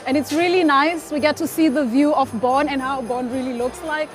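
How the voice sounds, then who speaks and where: A woman's voice: high voice, steady tone, moderate pace. A woman hosting an on-location travel report at an outdoor Christmas market, upbeat and chatty. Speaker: host. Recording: live music behind.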